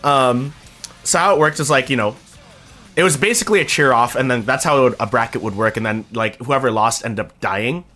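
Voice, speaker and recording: A young man streaming, talking casually to his chat, excitable and swearing freely. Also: mid-range voice, fairly quick, faint music behind.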